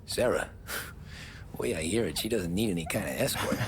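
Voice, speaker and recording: An actor playing a modest but tough young American mobster in a period game, wry and laid-back. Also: male voice, sits low, expressive, moderate pace, a little hiss or hum. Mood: obliging. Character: modest, tough young 1930s mob driver. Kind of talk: dramatic video game acting.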